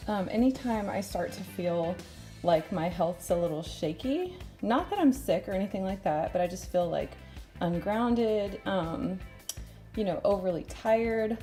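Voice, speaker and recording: A woman's voice: lowish voice, warm tone. A woman from Texas with a light Texan accent, chatting casually through a home cooking demo in her kitchen, rambling and laughing often.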